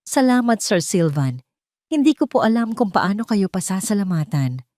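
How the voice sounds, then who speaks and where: A woman's voice: mid-range voice, sing-song, moderate pace, warm tone, dry recording, clean studio sound, close to an everyday voice. A woman voicing a humble, polite young woman with a hesitant, deferential manner in an audio drama. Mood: tearfully grateful.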